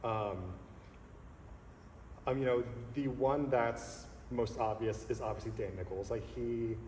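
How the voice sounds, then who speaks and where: A man in his thirties, a songleader talking earnestly and reflectively as a guest in a casual interview, pausing often to find his words. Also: mid-range voice, fairly even intonation, darkish tone. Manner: earnest, reflective, halting with fillers. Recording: echoey hall with faint noise.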